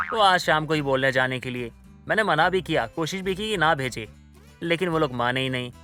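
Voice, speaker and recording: Man acting a bright-voiced coaxing young husband. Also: medium pitch, smooth, close to an everyday voice. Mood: reassuring. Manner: coaxing, placating. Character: smooth-talking, two-timing young husband full of excuses. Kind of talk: Hindi moral-story audio drama acting.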